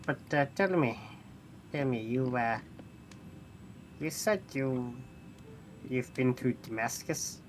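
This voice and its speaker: A man voicing a haughty, pragmatic mechanical creature who talks down to mortals in a theatrical, halting way during a tabletop roleplaying game. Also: mid-range voice, animated, a little hiss or hum. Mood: curious. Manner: condescending, theatrical, hesitant phrasing.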